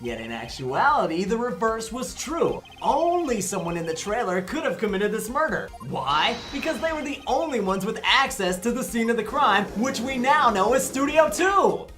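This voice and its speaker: A woman acts out a cocky young defense attorney in a comedic courtroom game. The delivery is sarcastic, showy and very lively, with faint music in the background. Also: on the high side, normal speed, few pauses. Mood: cocky, arguing. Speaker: voice actor in a fan dub.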